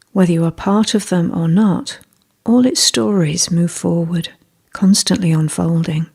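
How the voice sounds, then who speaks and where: A woman leading a scripted guided meditation in a gentle, measured, soothing way, with a soft, breathy voice. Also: fairly low pitch.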